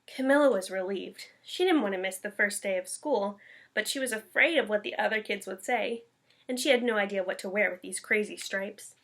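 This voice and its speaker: A woman's voice: medium pitch, brisk, fairly bright tone. A woman teacher reading a children's picture book aloud with expression.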